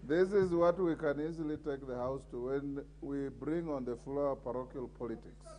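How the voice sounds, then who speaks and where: A man's voice: mid-range voice, moderate pace, warm tone. A middle-aged Kenyan man chairing a parliamentary debate as speaker, firmly calling members to order. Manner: firm, calling order repeatedly. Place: large parliament chamber. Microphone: desk microphone.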